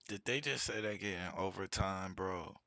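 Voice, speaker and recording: A man's voice: sits low, moderate pace, creaky, sharp tone, dry recording. A man streaming his reactions to news clips, blunt and profane, in loose casual slang.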